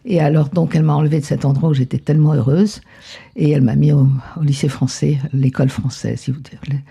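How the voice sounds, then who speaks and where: An older woman from Argentina, a guest in a relaxed interview, telling her life story in an unhurried, reflective way. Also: low-pitched, creaky, breathy edge.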